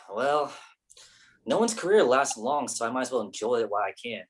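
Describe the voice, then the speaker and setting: A man's voice: medium pitch, lively intonation, moderate pace, a little hiss or hum, natural voice. A man playing a theatrical, flirty, self-pitying actor in his mid-thirties in a staged play reading. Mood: dramatic. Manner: whiny, theatrical, pretentious.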